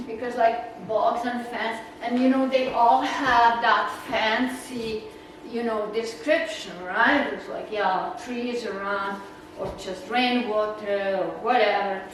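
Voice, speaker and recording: A woman with a Czech accent giving a casual, rambling slide talk to a naturalist club, full of fillers. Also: on the high side. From Czech Republic. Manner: chatty, rambling, with fillers and laughs. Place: meeting room. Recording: echoey room, voice from far off, noisy.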